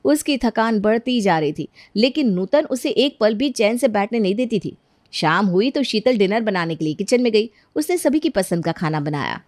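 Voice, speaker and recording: A woman's voice: medium pitch, animated, moderate pace, a little mellow, no echo, studio quality. A woman narrating a scripted cartoon bedtime story as the storyteller.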